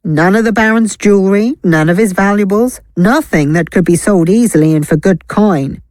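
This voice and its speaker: A woman's voice: mid-range voice, normal speed, a little mellow, no echo, lightly acted. A woman playing a cool, guarded bounty hunter with a terse, matter-of-fact manner in a fantasy audio story. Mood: probing.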